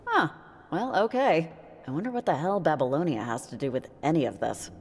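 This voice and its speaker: A woman's voice: deep voice, big pitch swings, brisk, slightly rough, full character voice. An actress playing a wry, skeptical young woman in a video game, speaking in a naturalistic, understated, conversational way. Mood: puzzled, curious. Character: dry-witted, skeptical young woman exploring a cult's hideout. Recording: music behind the voice.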